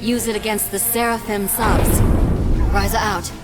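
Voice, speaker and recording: A woman playing a brisk, dutiful military commander in a video game, giving clipped, formal mission reports. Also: medium pitch, fairly even intonation, natural voice. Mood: dutiful, firm. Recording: loud music behind the voice.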